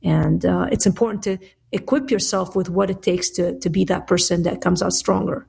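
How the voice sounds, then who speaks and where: A woman's voice: fairly low pitch, fairly even intonation, moderate pace, gravelly, darkish tone, husky. A woman from Lebanon, a guest author on a radio talk show, speaking in long, earnest, reflective thoughts.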